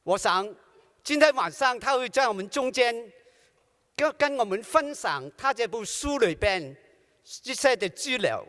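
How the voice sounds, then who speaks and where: Male host, very high pitch, formal intro, reverberant hall. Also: expressive, normal speed, a bit bright. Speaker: conference host. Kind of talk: speaker introduction. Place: large church hall.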